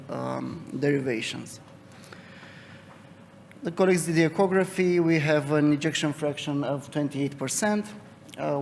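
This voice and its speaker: Young Romanian-accented man, creaky voice in a hall. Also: a little high, darkish tone. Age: young adult. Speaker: interventional cardiologist presenting a case. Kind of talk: medical conference case presentation. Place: conference hall. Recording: reverberant hall, noticeable background noise.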